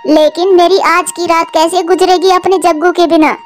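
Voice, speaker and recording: Woman as cartoon mother crow, extremely high voice, nagging, indignant, doting, music behind. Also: level tone, normal speed. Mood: distraught, sharp. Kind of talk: Hindi cartoon voice acting.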